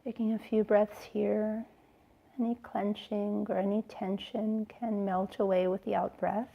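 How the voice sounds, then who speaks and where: A woman yoga teacher leading a guided relaxation in a soothing, gentle way, with unhurried phrases and pauses. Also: medium pitch, little pitch movement, vocal fry, lo-fi sound. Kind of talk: slow guided relaxation instruction.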